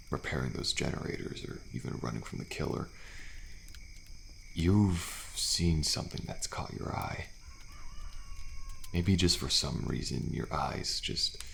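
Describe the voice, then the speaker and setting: Male actor as weary but friendly horror-game survivor, ASMR roleplay. Extremely low, heavy fry, hushed and reassuring. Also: a little hiss or hum, heavily acted. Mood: relaxed, friendly. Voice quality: deep, gravelly. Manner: soft-spoken, hushed, unhurried, reassuring. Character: weary, friendly male survivor of deadly trials, resigned yet hopeful. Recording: faint night insect ambience behind.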